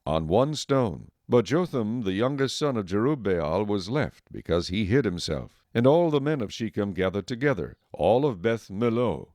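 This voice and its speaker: A man reads as narrator of a dramatized Bible production. His voice is on the low side, and the sound is dry and close, with little room to it. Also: darkish tone, no room sound.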